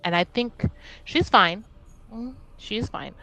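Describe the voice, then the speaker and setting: A woman's voice: on the high side, slow, sharp tone, faint music behind, exaggerated voice, poor audio. A woman playing a polite, breezy lady with genteel, formal phrasing in a tabletop roleplaying game. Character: polite, breezy lady taking her leave of nobles.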